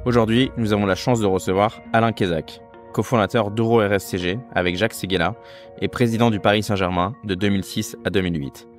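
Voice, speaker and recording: A man's voice: on the low side, fairly even intonation, quick pace, over music. A man hosting an interview podcast, delivering an earnest, emphatic introduction and questions. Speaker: podcast host. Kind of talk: scripted intro, then interview.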